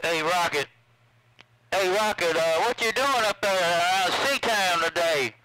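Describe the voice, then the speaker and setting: A man with a high, forceful voice calls out greetings over a CB radio. He repeats his calls, with a faint hiss behind him. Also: measured pace, low-quality recording. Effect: heard over CB radio. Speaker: CB radio operator.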